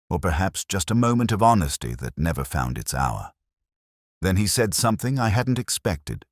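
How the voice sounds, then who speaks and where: A man playing a weary, middle-aged literary police inspector, narrating his case in a measured, reflective detective-drama style. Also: deep voice, no room sound, studio quality, full character voice. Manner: measured, brooding, literary delivery. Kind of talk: scripted first-person detective narration.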